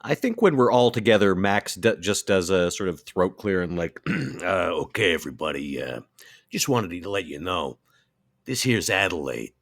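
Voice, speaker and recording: A man with a deep voice plays a tabletop roleplaying game. His sound is dry and close, and he speaks casually as he describes and voices his character. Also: animated, moderate pace, no echo.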